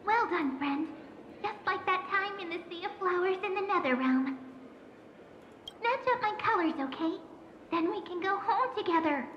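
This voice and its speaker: A woman's voice: lively intonation, moderate pace, pauses often, lo-fi sound, a little hiss or hum. A woman voicing a cute, mysterious and playful sprite companion in an anime game, with a girlish, affectionate manner. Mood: cheerful, encouraging. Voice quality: girlish, very high voice.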